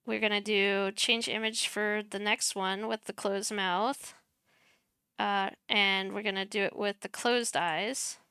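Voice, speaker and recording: A young woman streamer, soft-spoken and chatty, walking viewers through her streaming setup on a headset mic while muttering as she clicks around. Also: medium pitch, steady tone, slow pace, smooth, no room sound. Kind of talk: casual screen-share tutorial.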